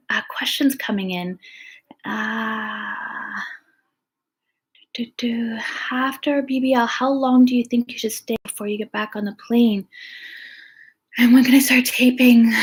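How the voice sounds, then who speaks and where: A woman with a soft, relaxing voice, hosting a casual live chat, reading viewer comments and answering questions. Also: a little high, slightly rough. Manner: chatty, reads comments aloud, frequent "um". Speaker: live stream host.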